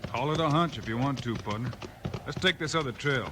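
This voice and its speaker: An actor playing a calm, watchful lawman in a 1950s radio western, talking in a matter-of-fact way. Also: mid-range voice, brisk, natural voice. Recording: noisy old 1950s radio recording.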